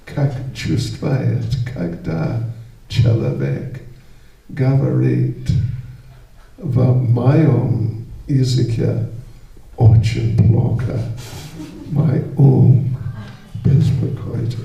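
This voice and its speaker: A man with a dark, gravelly voice delivers a slow, halting religious talk, stopping to hunt for words and dropping into English. He is picked up from a distance in an echoey hall. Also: medium pitch, lively intonation. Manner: searches for words, slips into English. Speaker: visiting spiritual lecturer. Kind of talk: halting religious lecture in non-native Russian. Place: lecture hall with audience. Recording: echoey room, mic far off.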